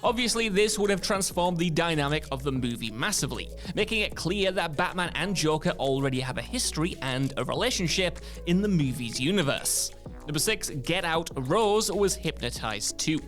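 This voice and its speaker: A male video presenter with a high-set voice reads a scripted countdown. His manner is conversational and lightly wry. Soft music plays behind him. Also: lively intonation, moderate pace. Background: background music.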